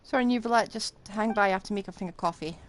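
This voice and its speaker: A woman giving casual, expressive live commentary as she plays a game, in a playfully exasperated way. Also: mid-range voice, fast talker, noisy background. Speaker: game livestreamer. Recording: music playing behind voice. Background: game music.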